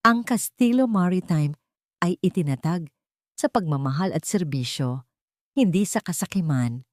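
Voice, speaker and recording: A woman's voice: animated, unhurried, no echo, clean studio sound. A woman with a Filipino accent narrating a dramatic audio story in the first person, lifting her voice for the characters' lines. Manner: rises in pitch and volume for quoted dialogue.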